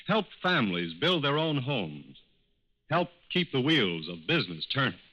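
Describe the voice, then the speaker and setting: A man delivering a scripted sponsor message as a formal, deliberate 1940s radio announcer. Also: medium pitch, pushed and bright. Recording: muffled 1940s radio recording.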